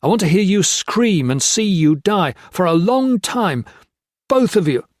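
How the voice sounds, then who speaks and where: A man's voice: mid-range voice, wildly swooping pitch, normal speed, a little mellow, no echo, clean studio sound. A man voicing an ill male prisoner who speaks with defiance and seething threats. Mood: vengeful. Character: gaunt, ill male prisoner, coldly vengeful.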